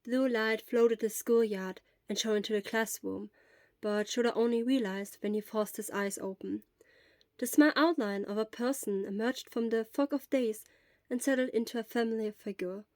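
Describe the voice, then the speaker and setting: A woman's voice: fairly high pitch, normal speed, darkish tone, dry recording. A woman narrating scripted fiction as the reader of an audio story.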